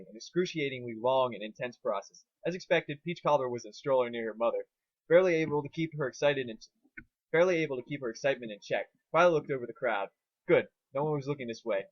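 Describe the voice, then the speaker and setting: A man's voice: mid-range voice, no room sound, highs cut off. A man giving an amateur dramatic narration of a story.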